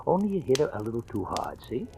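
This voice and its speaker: An actor playing a smug, menacing gangster boss with slangy, mock-friendly wheedling in an old radio crime drama. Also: male voice, medium pitch, very animated, quick pace, creaky. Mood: smug, coaxing. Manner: wheedling, slangy tough-guy talk. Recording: old radio recording, faint music.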